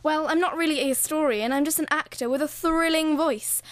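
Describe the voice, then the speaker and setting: A woman's voice: sits high, brisk, no echo, close to an everyday voice. A young woman playing a pompous, touchy radio history narrator in a comic radio play. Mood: grand, touchy.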